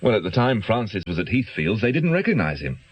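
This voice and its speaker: A guilt-ridden doctor in a radio play, with a low, husky voice. He is educated but halting and defensive, and his nervous delivery rises and falls in lively swings. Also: dull audio. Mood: uneasy, confessional. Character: anxious, guilt-ridden male doctor entangled with criminals. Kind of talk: naturalistic radio drama acting.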